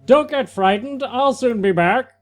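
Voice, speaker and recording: A man's voice: extremely high pitch, measured pace, voice pushed up. A man voicing a pompous male superhero wizard in a comic dramatic reading of an old comic. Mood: reassuring. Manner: grand, declaiming hero lines.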